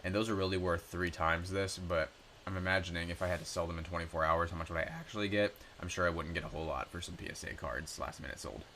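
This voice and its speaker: A man in his twenties, raspy from a cold, casually talking through his finances with frequent ums and self-corrections. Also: low-pitched, steady tone, fast talker, pushed and bright, a little hiss or hum. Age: 20s. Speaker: personal finance YouTuber. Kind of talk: casual screen-share walkthrough.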